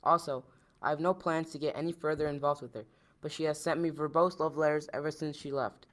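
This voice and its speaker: Quick-paced reader of scripted news copy. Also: medium pitch, steady tone, a bit bright. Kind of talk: quick scripted reading of news lines and quotes.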